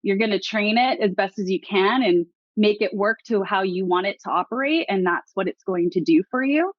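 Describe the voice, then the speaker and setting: A woman's voice: medium pitch, moderate pace, no echo, lo-fi sound. A woman who works in customer success, answering in an upbeat, promotional way. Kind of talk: casual interview answer.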